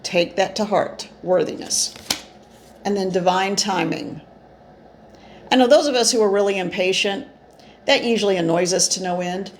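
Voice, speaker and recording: A woman with a gravelly voice giving an informal spiritual card reading, talking in an earnest, meandering way with gentle encouragement. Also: mid-range voice, normal speed, long pauses. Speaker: spiritual channeler and card reader. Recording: echoey room with faint noise.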